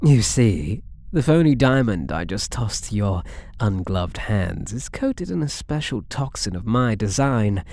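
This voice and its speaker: Guy doing a british catboy thief for asmr roleplay, possessive n teasing, slow soft-toned mellow voice, super close dry sound. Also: on the low side, big pitch swings, dry recording. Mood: gloating. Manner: coy, playful, mock-innocent purring taunts.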